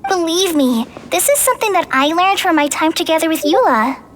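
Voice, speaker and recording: Sweet earnest teen girl ranger in a game, really high voice, eager and encouraging. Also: expressive, fairly quick, a little hiss or hum. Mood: earnest, reassuring. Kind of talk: video game voice acting.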